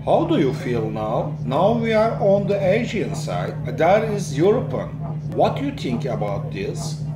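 A man hosting an interview, asking short, plain questions in careful, halting English. He speaks slowly, and his pitch rises and falls widely. Clear outdoor noise from the seaside surrounds him. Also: very animated. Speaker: host, interviewer.

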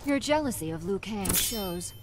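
An actress playing a haughty, scornful warrior princess in a video game, regal and disdainful. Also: female voice, medium pitch, expressive, normal speed, background noise, close to an everyday voice. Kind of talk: video game fight intro dialogue.

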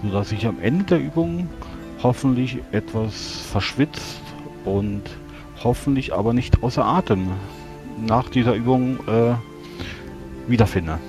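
A man's voice: medium pitch, measured pace. A man describing his character's actions as a player in a tabletop roleplaying game. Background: music.

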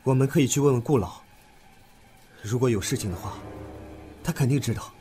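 A man acting in a Chinese audio drama as an earnest, thoughtful young man, expressive and musing. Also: mid-range voice, a little fast, close to an everyday voice. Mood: resolved.

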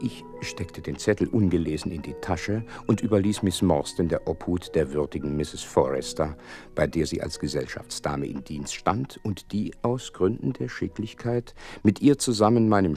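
An actor playing an earnest gentleman doctor who recounts a case in florid, wry storytelling in an audio drama. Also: deep voice, lively intonation, normal speed, faint music behind. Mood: florid narrating. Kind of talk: dramatised first-person narration, German audio drama.

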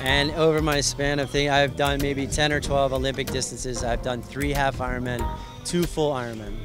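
A young man from Hawaii, a triathlon association president, explaining the sport in a relaxed, friendly way at an outdoor race. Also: medium pitch, normal speed. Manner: relaxed, friendly, explains in loose run-on sentences. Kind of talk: outdoor event interview. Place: outdoors at a race venue under tents. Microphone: handheld mic. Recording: background music at outdoor event.

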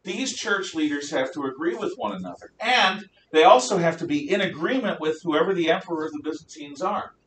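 A man's voice: lively intonation, fairly quick, hardly any pauses, fairly bright tone. An older man with an American accent from the northeastern United States, giving an emphatic classroom lecture as a history teacher. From northeastern United States, around New York City and New England. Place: classroom, indoors. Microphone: distant camera at back of room. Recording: roomy, distant microphone, noticeable hiss and rumble.

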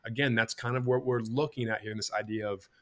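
A man's voice: medium pitch, fairly even intonation, fast talker, a bit bright. A man in his thirties hosting a farm marketing podcast over a video call, explaining ideas step by step and acting out what customers think. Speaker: podcast host. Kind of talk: teaching podcast talk. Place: bright home room.

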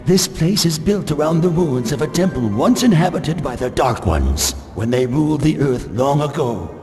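An actor playing a fussy, bossy scholar of ancient lore in a video game, theatrical and scolding. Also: male voice, medium pitch, darkish tone, big-room sound, natural voice. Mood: grave, urgent. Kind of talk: video game cutscene acting. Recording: music behind the voice.

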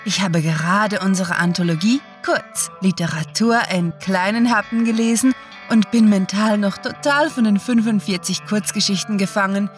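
A woman's voice: mid-range voice, normal speed, soft background music. A woman hosting a podcast, addressing listeners in a playful, hesitant, mock-flustered way. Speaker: podcast host.

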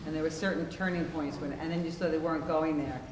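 A middle-aged woman explains her points in a measured, steady way. She sounds far from the mic, and the stage rings around her. Clear background noise sits under her voice. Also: low-pitched. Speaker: panelist, conflict resolution practitioner. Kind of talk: onstage discussion. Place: theater stage, seated. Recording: distant, echoey stage with noise.

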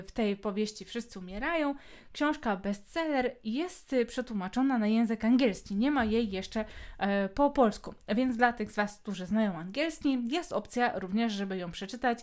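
A woman's voice: on the high side, darkish tone. A Polish woman hosting a book podcast, talking casually with many hesitation fillers. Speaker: podcast host.

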